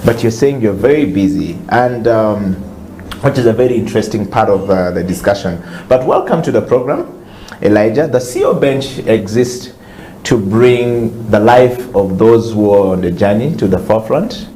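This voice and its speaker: Guy hosting a studio talk show, lowish voice, kinda slow and thoughtful, roomy sound w faint hiss. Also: animated, mellow. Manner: measured, repetitive and reflective. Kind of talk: studio interview.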